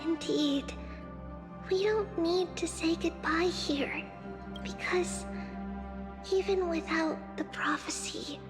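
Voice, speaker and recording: A woman playing a gentle, solemn young heroine in a video game story, speaking softly and deliberately with tender hope. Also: very high-pitched, steady tone. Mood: tender, hopeful. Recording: music behind the voice.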